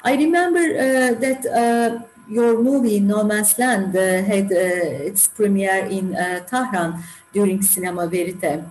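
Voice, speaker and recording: A woman with a Turkish accent hosting a festival interview, speaking haltingly with many fillers and formal addresses. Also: medium pitch, steady tone, darkish tone. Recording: echoey room with faint music.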